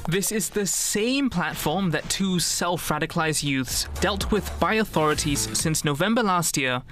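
A male reporter with a fairly high voice narrates a news explainer over a clear music bed. He sounds conversational and likes to pose questions to the viewer. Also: lively intonation, unhurried.

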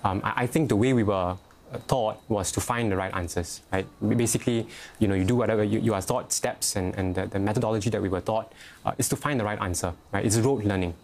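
A man's voice: fairly low pitch, quick pace, darkish tone. A young Singaporean man answering interview questions, hesitant, with many fillers and restarts. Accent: Singaporean English. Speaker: youth organisation head, interviewee. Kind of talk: news interview.

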